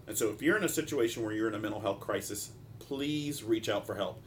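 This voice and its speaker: Low-voiced, congested man podcasting. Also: brisk, a little hiss or hum. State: congested, stopped-up nose. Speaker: podcast host, mental health practitioner. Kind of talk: informal solo podcast monologue.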